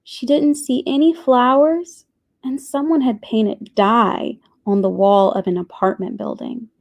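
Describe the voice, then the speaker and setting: A woman reading a children's storybook aloud with expressive, deliberate phrasing. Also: a little high. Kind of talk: scripted storybook narration.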